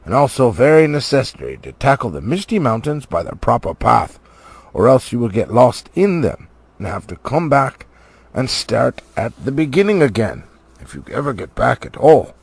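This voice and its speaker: A man reads the part of a stern old wizard guiding travelers in a storybook. He is commanding and urgent, admonishing with emphasis. The voice is gravelly and swings widely in pitch. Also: very animated, moderate pace, no room sound, full character voice. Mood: urgent counsel.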